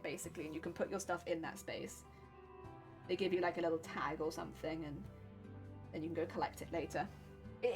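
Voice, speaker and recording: A woman chatting casually on a livestream, explaining her sewing steps as she works and laughing often. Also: lowish voice. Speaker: cosplay crafting streamer.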